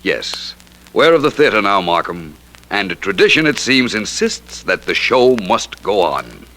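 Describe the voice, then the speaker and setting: Vintage radio drama, cool gentleman sleuth, lowish expressive voice, urbane, dry, unhurried. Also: heavily acted. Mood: calm, measured. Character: suave, unruffled gentleman detective. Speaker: lead actor in a detective radio drama. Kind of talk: 1940s radio acting. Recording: slightly noisy vintage radio recording.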